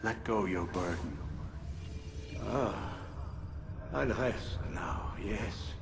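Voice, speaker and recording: An actor playing a commanding, paternal yet coldly cruel doctor in a dramatic video game cutscene. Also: male voice, lowish voice, expressive, vocal fry, background noise, full character voice. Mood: weary, defiant. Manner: theatrical, deliberate, swings from soothing to stern. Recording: music behind the voice.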